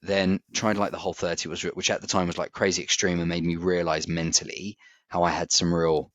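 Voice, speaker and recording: A man's voice: deep voice, flat delivery, fairly quick, fairly bright tone. A man, talking candidly and reflectively as a podcast co-host, laughing easily. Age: 40s. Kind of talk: casual chat. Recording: dry, slightly dull audio.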